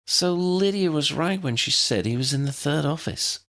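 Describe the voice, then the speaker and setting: A man voicing an excitable, bossy young witch in a story, speaking with urgent emphasis. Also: fairly high pitch, normal speed, pitched above the performer's own voice. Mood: breathless, excited.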